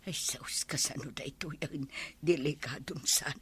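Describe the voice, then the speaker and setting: An actress playing a flustered, evasive wife in a regional radio comedy drama, hesitant, stammering and full of exclamations. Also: female voice, low-pitched, unhurried, a bit bright, breathy edge, no room sound, in a whisper, exaggerated voice. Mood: uneasy. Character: flustered, evasive wife hiding a money secret.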